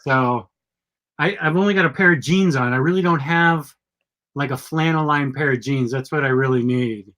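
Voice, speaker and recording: A middle-aged man hosting a live chat show, sick, with a gravelly voice, rambling and correcting himself as he talks. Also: mid-range voice, normal speed, a bit bright. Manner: rambling, self-correcting, chatty. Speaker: podcast host.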